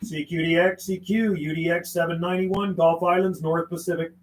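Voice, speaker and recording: A man's voice: a little high, steady tone, a little fast, roomy sound. A man chatting casually and slangily on a livestream as a radio operator, mixing in call signs and phonetic codes. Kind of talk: casual livestream chat mixed with radio calling. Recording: clear background noise.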